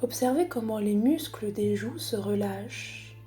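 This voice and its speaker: Soft mellow woman guiding relaxation over music. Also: medium pitch. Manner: calm, unhurried, soothing, with long pauses. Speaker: psychologist guiding a relaxation exercise. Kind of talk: guided body scan meditation.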